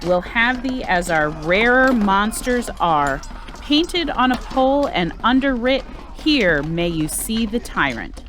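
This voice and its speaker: A woman's voice: fairly high pitch, animated, slow, a bit bright. A woman playing a fierce, vengeful warrior thane in an amateur Shakespearean dramatic reading. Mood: defiant, taunting. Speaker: amateur reader in library staff dramatic reading. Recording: noticeable background noise, clicks.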